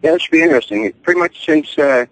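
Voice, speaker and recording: A man co-hosting a radio talk show, chatting in a relaxed, brief way. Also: on the high side, fast talker, low-quality recording. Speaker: co-host.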